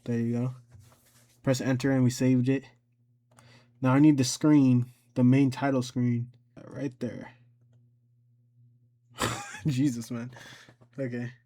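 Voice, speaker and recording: Regular guy doing a casual screen tutorial, kinda hesitant, medium pitch, thinking out loud. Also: little pitch movement, slow, long pauses. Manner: informal, hesitant, thinking aloud. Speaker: tutorial host.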